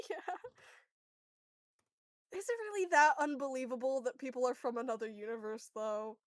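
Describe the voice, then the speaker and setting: A woman's voice: high voice, normal speed, studio quality. A woman chatting casually and animatedly with friends as a player in a tabletop role-play game, swearing freely. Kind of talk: casual group chat about a game. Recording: roomy echo, music behind.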